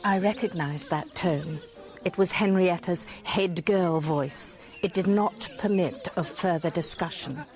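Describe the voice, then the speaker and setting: A woman's voice: on the low side, measured pace, darkish tone, soft background music, lightly acted. An actress with a British accent playing an observant, polite woman psychologist in a radio drama, thoughtful and courteous. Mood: reflective. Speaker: psychologist and author, guest lecturer. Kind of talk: British radio drama dialogue and first-person narration.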